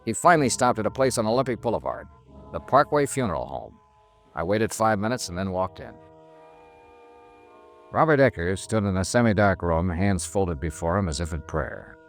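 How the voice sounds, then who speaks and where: A low-pitched, hard-boiled investigator in a vintage radio detective drama. He is clipped, dry and matter-of-fact, both narrating and questioning, with music in the production. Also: lively intonation, normal speed. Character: hard-boiled male insurance investigator, cool and probing. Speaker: narrator and lead character.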